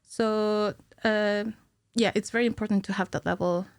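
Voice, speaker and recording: Female podcast guest, thirties, mid-range voice, conversational explainer who pauses to think; dry studio recording. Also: medium pitch, fairly even intonation, clean tone, no room sound. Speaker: podcast guest, cinematic animator. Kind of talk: conversational technical explanation in an interview. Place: small indoor podcast studio. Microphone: studio microphone on a stand.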